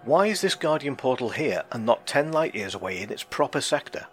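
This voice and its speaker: A man playing a measured, tactful starship captain in a sci-fi audio drama. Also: mid-range voice, big pitch swings, close to an everyday voice. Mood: questioning. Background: music.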